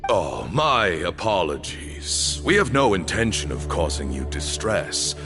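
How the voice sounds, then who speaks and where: A stern old desert temple leader from a fantasy game. His very low voice swings dramatically through each formal, deliberate phrase, with music clearly playing behind him. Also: big pitch swings, exaggerated voice. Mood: menacing, composed. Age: older. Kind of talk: dramatic video game voice acting. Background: background music.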